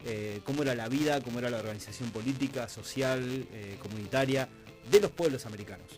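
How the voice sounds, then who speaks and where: A man with an Argentine accent hosting a conversational radio interview, reflective and halting as he builds long questions. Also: medium pitch, faint music behind, poor audio. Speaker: radio host interviewing guests. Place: radio studio.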